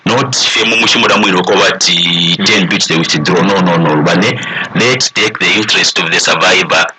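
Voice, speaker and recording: Male, low voice, Zambian accent, emphatic radio guest, repetitive appeals, language mixing, crowd sound behind. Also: normal speed, poor audio. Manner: emphatic, repeats points, switches languages mid-sentence. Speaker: expert guest. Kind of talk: conversational advocacy in a radio interview.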